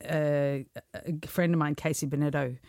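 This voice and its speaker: An Australian woman, a singer, chatting on a podcast in a lively storytelling way and laughing often. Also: deep voice, normal speed, no echo, studio quality. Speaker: singer guest. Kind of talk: casual podcast chat.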